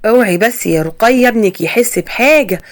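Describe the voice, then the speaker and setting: A woman's voice: on the high side, lively intonation, fairly quick. A woman with an Egyptian accent voicing a cautious woman friend giving a warning. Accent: Egyptian Arabic.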